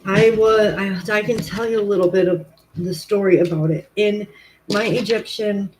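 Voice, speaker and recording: A woman's voice: mid-range voice, fairly even intonation, normal speed, a little mellow, slight background noise, poor audio. Rambling woman tarot reader, chatty. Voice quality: commanding voice. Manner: rambling, self-correcting, frequent "like" and "um". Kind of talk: casual livestream chat.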